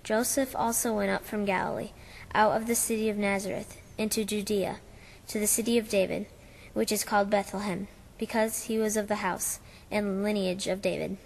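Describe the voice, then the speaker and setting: A woman voices a child narrator reciting Bible verses in a solemn, measured way. The mid-pitched voice rings in a hall with faint background noise. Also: flat delivery, creaky, close to an everyday voice.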